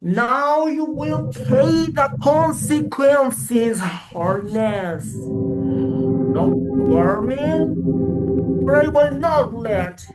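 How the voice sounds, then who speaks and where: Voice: sits high, extremely slow, pitched above the performer's own voice, low-quality recording. A performer voicing the vengeful ghost of a dead man, menacing and threatening in a dramatized folk tale. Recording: background music.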